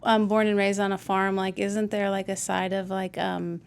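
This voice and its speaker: A woman's voice: mid-range voice, little pitch movement, normal speed, vocal fry, clean tone. A woman hosting a casual interview, chatty and friendly, often laughing as she asks questions. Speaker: host.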